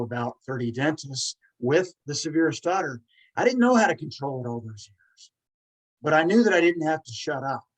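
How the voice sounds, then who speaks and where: A man's voice: a little high, low-quality recording. A man in his forties who stutters, hosting a podcast and speaking in an earnest, emphatic casual monologue. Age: 40s. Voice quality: stutters with repeated sounds. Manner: earnest, emphatic, quick bursts. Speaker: podcast host.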